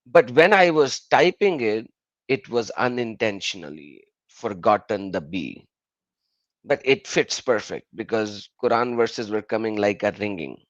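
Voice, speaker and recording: A man whose first language is Urdu, hosting a live call-in stream in casual English. He explains with many fillers and an animated delivery. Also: fairly low pitch, measured pace, no echo, dull audio.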